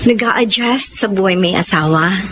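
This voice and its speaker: A woman's voice: mid-range voice, normal speed, lightly acted. An actress playing a chatty, effusive married woman in a Filipino radio drama. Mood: friendly, curious. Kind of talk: Tagalog radio drama acting. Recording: noisy ambience behind the voice.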